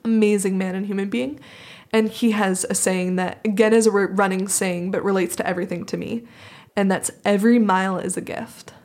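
A woman's voice: medium pitch, steady tone, a little fast, a little mellow. A woman speaking as a podcast host in a reflective, conversational interview, sounding earnest and appreciative.

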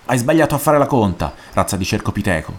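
A man's voice: lowish voice, sing-song, fast. A man reading a story aloud as a smug, pedantic boy with a haughty, mocking manner. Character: smug, pedantic boy narrator correcting a bully. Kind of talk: short story read aloud.

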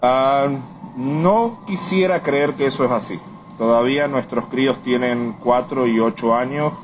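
A man gives measured, formally worded answers to a survey. His voice sits at a middle pitch, with clear noise around him. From Puerto Rico. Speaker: survey respondent. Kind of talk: formal spoken interview answers. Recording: noisy on-location interview recording.

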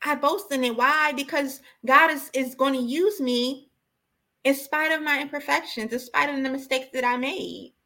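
Bright-voiced, high-pitched woman preaching emphatically. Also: moderate pace. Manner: emphatic, exhorting, repeats key phrases. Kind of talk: impassioned informal sermon.

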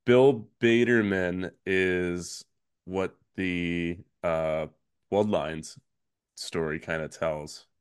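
A man hosting a podcast, his voice raspy and hoarse from a recent illness, reading trivia questions slowly in casual chat. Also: sits low, no echo, clean studio sound. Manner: reads questions slowly, relaxed filler-heavy talk. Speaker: podcast host.

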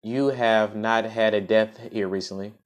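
A man's voice: lowish voice, steady tone, unhurried, pitched above the performer's own voice, close to an everyday voice. A man reading aloud, voicing a desperate grieving young mother who pleads breathlessly. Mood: pleading.

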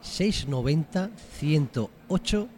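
Mellow-voiced man explaining step by step. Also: on the high side, lively intonation, measured pace, a little hiss or hum. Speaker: guest. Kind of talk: radio talk show chat.

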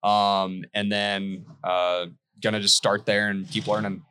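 A man's voice: lowish voice, flat delivery, unhurried, bright, forceful tone. A young man who wants to be an actor and voice actor, chatting eagerly and casually. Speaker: aspiring actor and voice actor. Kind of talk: casual chat.

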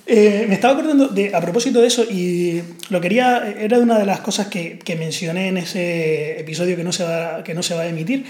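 A man's voice: sits high, a little fast, hardly any pauses, darkish tone. A man with a Canarian Spanish accent, co-hosting a podcast and telling stories in a reflective, hesitant way. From Canary Islands. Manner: reflective, storytelling, hesitant fillers. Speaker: podcast co-host. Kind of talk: casual conversation. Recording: roomy echo.

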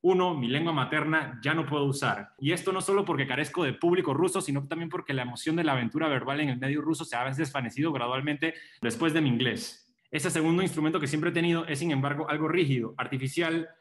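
A man's voice: fairly high pitch, fast talker, echoey room. A man discussing a novel in casual conversation, reading quotations aloud now and then. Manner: animated, reads quotations aloud. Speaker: book discussion host.

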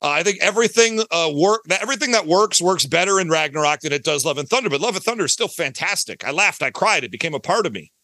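Bright-voiced, higher-pitched American man. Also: animated, quick pace, hardly any pauses, no room sound. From Detroit, United States. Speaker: podcast guest, audio drama creator. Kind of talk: casual chat, explaining his work.

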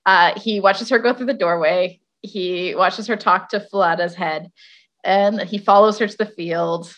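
A woman's voice: mid-range voice, a little slow, fairly bright tone. A woman tells a fairy tale as a podcast host, in a mid-range voice. Her delivery is informal and wry, giggly in places, moving between loose retelling and reading from the text. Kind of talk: casual storytelling with read-aloud passages.

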